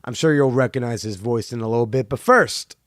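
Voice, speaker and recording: A man hosting a podcast, talking casually in a rambling, halting way with many fillers. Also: medium pitch, dry recording, clean studio sound. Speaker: podcast host; comedian. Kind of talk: casual solo monologue.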